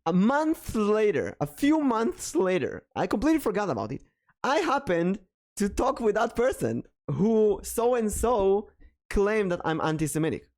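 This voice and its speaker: A man from Israel with a Hebrew accent, hosting a casual podcast and telling stories with frequent hesitations. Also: high-pitched. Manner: storytelling, hesitant with many ums. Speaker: podcast host.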